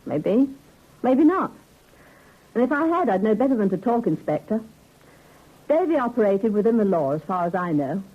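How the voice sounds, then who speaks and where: An actress playing a hard-bitten, guarded nightclub singer, sardonic and world-weary, in a vintage radio drama. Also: female voice, mid-range voice, big pitch swings, moderate pace, pauses often. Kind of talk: 1950s radio drama acting. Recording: thin, narrow old radio recording.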